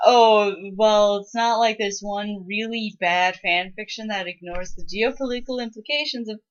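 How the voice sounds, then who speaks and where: A woman's voice: medium pitch, moderate pace, highs cut off. A woman making wry, casual remarks and interjections as a co-host commenting on fan fiction.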